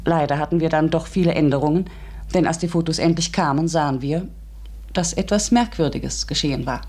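Reflective schoolteacher, lowish voice, measured and earnest, literary radio play storytelling. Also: female voice, darkish tone, breathy edge. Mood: wistful, reflective. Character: reflective female schoolteacher recounting a mysterious encounter. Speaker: narrator and lead actress. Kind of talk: literary German radio drama acting.

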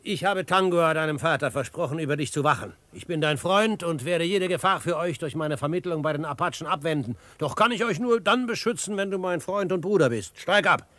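An actor playing a calm, commanding frontier adventurer in a German radio drama, measured and authoritative. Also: male voice, fairly high pitch, no room sound, natural voice. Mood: commanding, urgent.

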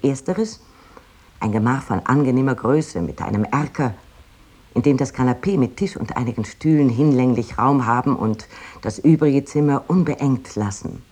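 An actress playing a proud, delighted 19th-century woman writer, reading out her letter in precise, expressive, old-fashioned literary diction. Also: extremely low pitch, normal speed, slightly rough. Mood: delighted, detailed.

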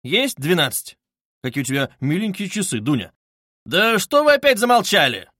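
A man's voice: high voice, very animated, normal speed, sharp tone, no room sound, voice pushed up, heavily acted. An irritable, brooding young man in a feverish state, voiced by a male reader. He talks abruptly and insistently, with erratic agitation, in an audiobook novel. The sound is dry. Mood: restless, insistent.

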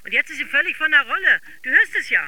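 An actress playing a brisk, exasperated young caller with a flippant, emphatic manner in a radio drama. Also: female voice, sits high, very animated, noisy background.

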